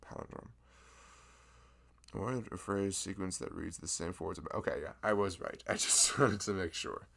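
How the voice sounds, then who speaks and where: A man's voice: low-pitched, fairly even intonation, normal speed, creaky, a bit bright, full character voice. A man voicing a friendly, nerdy young florist in an ASMR roleplay, rambling, laughing often and teasing himself. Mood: chatty.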